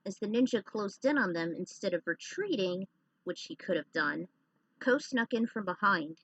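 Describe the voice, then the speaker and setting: A woman's voice: mid-range voice, expressive, moderate pace, breathy edge, muffled recording. A woman hosting with casual commentary and reading a story she has written out. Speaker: host and fan fiction reader.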